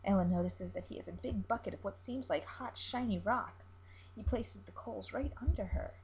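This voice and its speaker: A woman reading a story aloud as narrator, in an expressive amateur storytelling style. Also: mid-range voice, moderate pace, poor audio.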